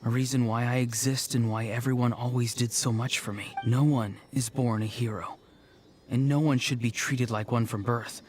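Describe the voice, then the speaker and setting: A man's voice: mid-range voice, steady tone, normal speed, darkish tone, slight background noise, lightly acted. Male actor as young game hero: sincere, strained, self-doubting but stubborn, mid-range voice. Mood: weary, searching. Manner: earnest, strained. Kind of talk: scripted video game dialogue.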